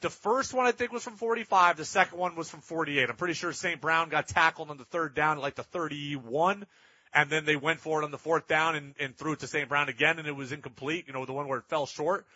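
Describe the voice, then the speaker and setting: A man with a high-pitched, forceful voice hosts sports talk. He argues emphatically and circles back to hammer his points. The sound is dry and close, a little dull in fidelity. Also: brisk, husky, dry recording. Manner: emphatic, repeats points for effect. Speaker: sports talk show host. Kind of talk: opinionated sports talk commentary.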